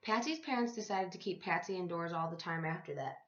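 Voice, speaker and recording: A woman reading a story aloud to young children like a teacher, with expressive, deliberate phrasing. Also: fairly low pitch. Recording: echoey room, slightly dull sound.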